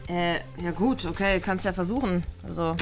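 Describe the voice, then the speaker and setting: A woman's voice: lowish voice, moderate pace, natural voice. A woman voicing a cool, smart new schoolgirl, cheeky, evasive and slangy, in a children's play story. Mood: cocky. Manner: nonchalant, downplaying, slangy. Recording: music behind the voice.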